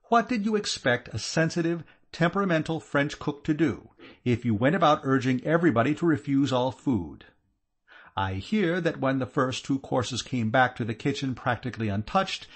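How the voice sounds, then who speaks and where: A furious, sharp-tongued aunt of the gentry, as a male reader puts her on, her voice climbing higher than his own and swooping with lively scorn. She berates with cutting exasperation, every phrase biting. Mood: indignant. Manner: scathing, exasperated scolding. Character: irate middle-aged aristocratic aunt, sharp-tongued. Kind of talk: audiobook character voice.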